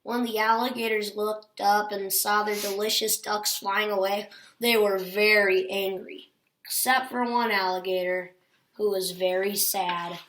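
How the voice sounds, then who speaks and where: A woman's voice: medium pitch, steady tone, measured pace, fairly bright tone, poor audio. A woman reading a children's picture book aloud as a storyteller.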